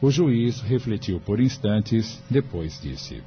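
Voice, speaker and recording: A man's voice: lowish voice, very animated, slow, a little hiss or hum. A man narrating a scripted audio drama. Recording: dull lo-fi audio with music behind.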